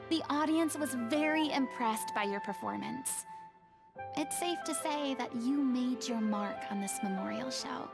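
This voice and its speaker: A woman voicing a proud, easily flustered young former stage star in a video game, in an expressive, stagey manner. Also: high-pitched, exaggerated voice.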